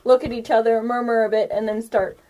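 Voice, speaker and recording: Woman game master, high-pitched, improvising scenes haltingly and casually, faint background noise. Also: flat delivery, warm tone. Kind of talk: casual tabletop game narration.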